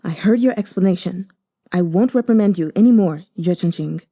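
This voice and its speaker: A woman's voice: on the low side, a little fast, dark tone, no echo, studio quality. A woman reading the part of a proud, cold man who speaks in terse, clipped words.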